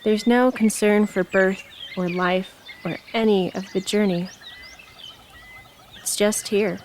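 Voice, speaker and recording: A woman reading reflective poetry in a contemplative manner with measured phrasing. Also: mid-range voice, steady tone, darkish tone, a little hiss or hum. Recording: noticeable outdoor ambience with birdsong. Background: nature ambience, birds.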